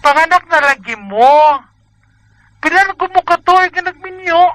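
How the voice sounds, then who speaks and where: A woman's voice: extremely high pitch, animated, a little slow, fairly bright tone, no echo, heavily acted. A woman with a Bisaya Filipino accent playing a blunt, nosy married friend in a radio drama, scolding and exclamatory. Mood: scolding, teasing.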